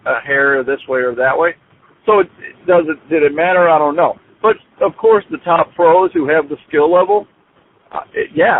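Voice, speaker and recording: A man chatting casually as a guest on a phone call, thinking aloud in a conversational way. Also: medium pitch. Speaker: guest, hobby club racer. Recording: noisy, tinny phone line.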